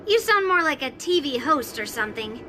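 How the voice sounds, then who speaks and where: A woman voicing a proud, sharp-tongued young swordswoman in a game cutscene, blunt and teasing. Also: sits high, very animated, normal speed, background noise. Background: game score music.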